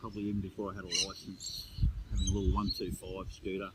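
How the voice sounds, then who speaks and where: An older man with an Australian accent co-hosting a casual chat outdoors at a campsite, laid-back and halting. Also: sits low, completely flat delivery. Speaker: podcast co-host. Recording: loud outdoor background noise.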